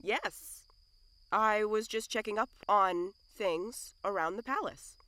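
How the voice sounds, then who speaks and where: A woman playing a hesitant, weary male king in an amateur audio drama, with a halting, stammering delivery. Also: medium pitch, sharp tone. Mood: flustered.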